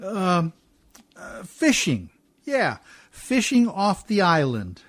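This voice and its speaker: Male actor as riverboat skipper, radio drama, folksy, scrappy, lively swooping delivery, working-class plain talk. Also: sits high, slow, full character voice. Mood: evasive, subdued. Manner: folksy, ungrammatical, plain-spoken. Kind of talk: radio play acting.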